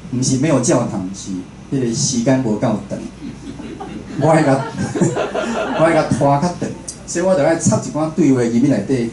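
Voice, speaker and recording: A man's voice: medium pitch, mellow. A man with a Taiwanese accent gives an informal talk as a radio host, conversational and often putting questions to his listeners. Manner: conversational, puts questions to the audience. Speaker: speaker, radio station director and show host. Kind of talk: informal public lecture. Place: indoor talk venue. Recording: roomy echo, faint background noise.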